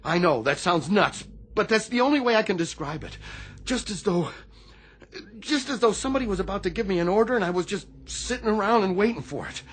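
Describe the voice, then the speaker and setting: An actor, a man, playing a troubled, haunted Navy chief in a radio drama, anxious and halting. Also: high-pitched, expressive, a bit bright, breathy edge, exaggerated voice. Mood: uneasy, haunted. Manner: anxious, halting, repeats himself. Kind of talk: radio theatre acting.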